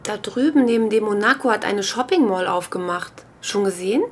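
A woman's voice: mid-range voice, fairly quick, slight background noise, lightly acted. A woman playing a flighty, callous young mother in a radio drama, with a casual, self-absorbed way of talking. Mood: casual, wary.

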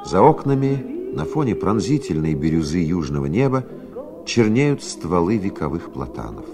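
A man with a very low voice delivers literary narration for a radio drama. His intonation is animated and dramatic but measured, and music plays behind him.

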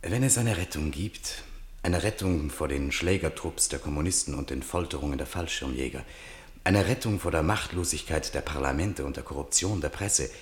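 Male narrator with a very deep bass voice, report-like literary storytelling for radio drama. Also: normal speed.

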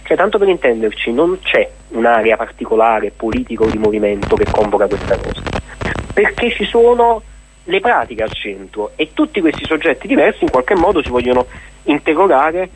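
A man's voice: mid-range voice, very animated, brisk, slight background noise, low-quality recording. A man, an activist guest giving earnest, long, spontaneous explanations in a radio interview. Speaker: activist guest presenting an event.

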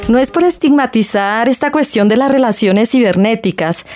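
A woman's voice: on the high side, normal speed, over music, lightly acted. A Colombian woman playing a sceptical, scolding realist in a colloquial scripted radio sketch. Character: sceptical, scolding woman warning against online romance.

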